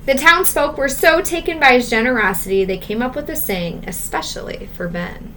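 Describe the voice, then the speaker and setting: A woman reading a children's storybook aloud as a teacher, expressive and measured, stressing key words and adding asides. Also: high-pitched, a little hiss or hum.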